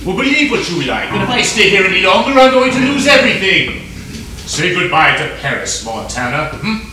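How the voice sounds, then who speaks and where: A man's voice: high voice, sing-song, fairly quick. An actor playing an older, smug and self-serving showman professor in a stage melodrama, pompous and teasing. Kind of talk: broad stage melodrama acting. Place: theater stage, recorded from a distance. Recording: echoey stage recording from far off, music.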